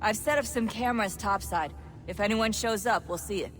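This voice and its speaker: A woman playing a calm, capable young tech operative in a video game, giving practical instructions. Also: mid-range voice, fairly quick, soft background music, natural voice. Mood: calm, focused.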